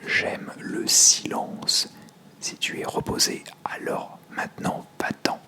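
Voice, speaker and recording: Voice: slow pace, pushed and bright. A storyteller voicing a grumpy, lonely and powerful male dragon god in a stern whisper for an ASMR tale. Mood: grumpy, annoyed.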